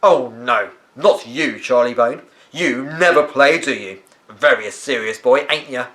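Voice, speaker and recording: A man voicing a big, gruff gardener from a children's story, barking and taunting as he speaks. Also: mid-range voice, a little slow, a bit bright, lightly acted. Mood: mocking. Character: big gruff male gardener, bullying and sneering.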